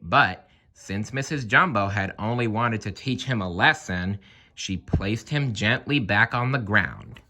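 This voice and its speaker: A man reading a children's story aloud in an expressive, animated narrating style. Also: lowish voice, a little slow. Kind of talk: children's read-aloud narration with playful asides.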